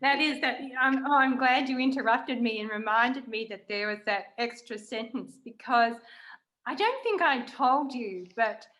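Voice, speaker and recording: A woman's voice: on the high side, expressive, measured pace, a bit bright, poor audio. A woman hosting a casual interview, chatting informally as a writer with her guest.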